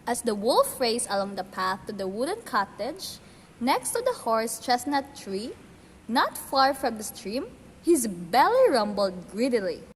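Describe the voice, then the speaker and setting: A woman's voice: on the high side, very animated, measured pace. A woman narrating a fairy tale as a storyteller in a scripted radio play. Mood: expressive. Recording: long reverb, faint noise.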